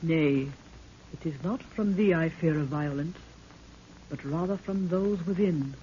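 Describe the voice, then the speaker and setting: A woman's voice: sits low, measured pace, warm tone. An actress playing a solemn, fearful queen of a lost people, with stately archaic diction, in an old radio drama. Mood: solemn, urgent. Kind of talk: 1930s radio drama acting. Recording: muffled, noisy 1930s radio recording.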